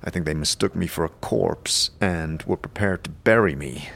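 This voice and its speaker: Man playing a hesitant, low-key undead wanderer. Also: normal speed, creaky, fairly bright tone, heavily acted. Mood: hesitant, earnest. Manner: hesitant, understated. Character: amnesiac undead male wanderer, hesitant, dry, earnest. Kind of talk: fantasy audio drama dialogue. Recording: faint background noise and ambience.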